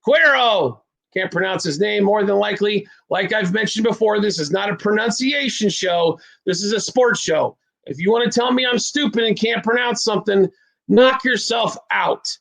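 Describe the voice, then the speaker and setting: Gravelly high-pitched betting host. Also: male voice, normal speed, a bit bright. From American Midwest. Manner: rambling, blunt, self-deprecating. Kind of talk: casual sports betting talk.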